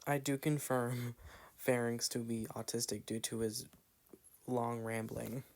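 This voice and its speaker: Gravelly Southern man rambling over gameplay. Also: mid-range voice, flat delivery, unhurried. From Southern United States. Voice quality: meandering with frequent "like" fillers and trailing asides. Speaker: gameplay commentator. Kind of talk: rambling casual commentary.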